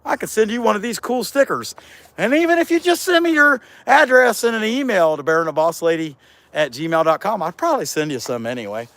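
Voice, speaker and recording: A hoarse man hosting his carving channel, talking in a folksy, self-deprecating, joking way. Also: high voice, very animated, a little fast, a bit bright, dry recording. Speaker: chainsaw carving channel host. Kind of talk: casual workshop vlog chat.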